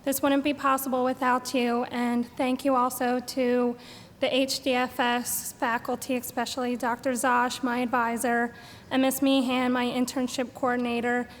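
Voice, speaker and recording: A woman reads her graduation thanks at a podium. Her voice is high and stays very level. A long reverb and a faint rumble sit under it. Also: little pitch movement, normal speed. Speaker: graduating student. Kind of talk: commencement speech. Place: large hall at a graduation ceremony. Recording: echoey hall with faint noise.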